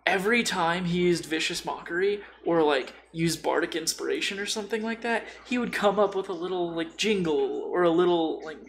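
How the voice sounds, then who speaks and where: Higher-pitched man with a gravelly creak. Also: a bit bright, slight background noise. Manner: informal, rambling, peppered with swearing. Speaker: podcast co-speaker. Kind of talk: casual podcast chat about tabletop role-playing.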